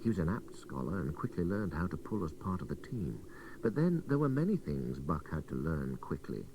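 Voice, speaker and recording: A deep-voiced man narrates a literary story with a wide, animated range of pitch. His delivery is measured and dramatic. It comes from an old taped radio broadcast, slightly distant with faint hiss. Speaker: story reader.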